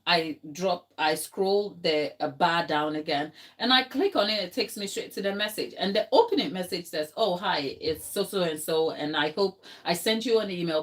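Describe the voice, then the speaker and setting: A Ghanaian woman chatting casually in her home kitchen, telling a story with lots of fillers and asides to her viewers. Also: lowish voice. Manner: chatty storytelling, many fillers, talks to live chat. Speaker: home baker and channel host. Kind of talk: casual chat while working in the kitchen.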